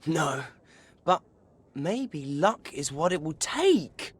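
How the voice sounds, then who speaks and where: A man's voice: fairly high pitch, big pitch swings, slow pace, husky, heavily acted. An English actor playing an earnest, determined teenage boy wizard hero in a video game. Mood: resolved.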